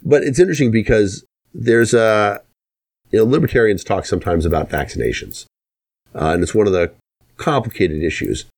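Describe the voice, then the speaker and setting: Talk radio host: man with a deep register, opinionated delivery, leans on rhetorical questions. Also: expressive, moderate pace, darkish tone.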